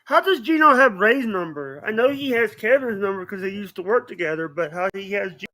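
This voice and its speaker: Male podcast guest, high-pitched, hesitant delivery with repeated phrases and frequent apologies, informal chat. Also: animated, fairly quick, sharp tone, poor audio. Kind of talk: casual chat.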